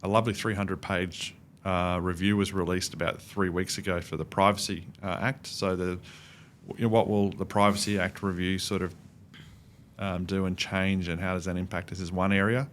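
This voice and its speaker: A middle-aged Australian man giving measured, explanatory answers as an expert guest in a conversational interview. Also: deep voice, level tone, a bit bright, a little hiss or hum. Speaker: podcast guest, cybersecurity expert.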